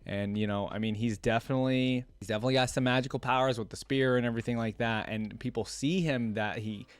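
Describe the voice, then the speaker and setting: A man's voice: mid-range voice, fairly even intonation, fast talker, smooth, no echo. A man hosting a reaction video, reading a fantasy story aloud and commenting in an informal, colloquial way.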